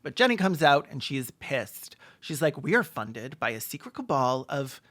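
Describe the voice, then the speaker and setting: A video essay host doing sarcastic comedic commentary with mock-serious, deadpan jokes. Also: mid-range voice, normal speed.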